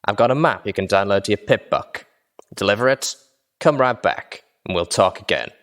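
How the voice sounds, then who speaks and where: A man's voice: on the low side, expressive, moderate pace, a bit bright. A man voicing a menacing male pony gang lieutenant, sarcastic, curt and impatient, in a storybook reading. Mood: businesslike. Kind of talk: audiobook character dialogue.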